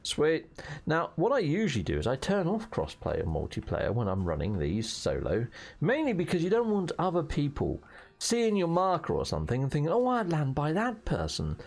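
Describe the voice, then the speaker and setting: A hoarse British man chatting through a game as its host, jokey and fond of playful slang and mild swearing. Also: a little high, wildly swooping pitch, normal speed, a little mellow. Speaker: gaming channel host. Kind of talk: casual gameplay commentary.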